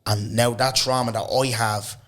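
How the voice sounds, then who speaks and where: A man, hosting a podcast in loose, slangy chat, his voice hoarse. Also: mid-range voice, moderate pace. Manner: loose, animated storytelling with lots of slang and repeated "bro". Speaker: podcast host. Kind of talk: casual chat.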